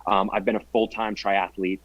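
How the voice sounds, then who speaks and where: A man's voice: on the low side, level tone, moderate pace, dry recording, lo-fi sound. A man in his twenties, a guest athlete telling his story in an earnest, reflective way during a casual interview. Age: 20s.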